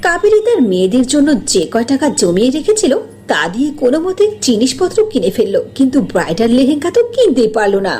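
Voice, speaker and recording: A woman's voice: high-pitched, very animated, quick pace, darkish tone. A woman narrator reading a scripted cartoon story.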